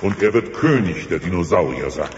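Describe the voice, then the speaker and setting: A solemn old male dinosaur elder proclaims pompously, with big swings in pitch. The voice rings in a long cave reverb on a dull audio drama recording. Every word is stern and weighty. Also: expressive, fairly quick, slight background noise. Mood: solemn, proclaiming. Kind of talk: German children's audio drama acting.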